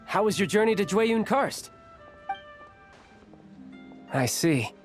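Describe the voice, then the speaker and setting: A man voicing a suave, sly young schemer in a video game, smooth-talking and teasing. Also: sits high, animated, takes it slowly, soft background music. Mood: informative.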